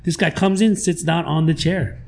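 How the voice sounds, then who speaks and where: A man with a Hawaiian Pidgin-tinged accent, a record store owner telling stories in a slangy, animated way during a casual podcast chat. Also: fairly high pitch, normal speed, vocal fry.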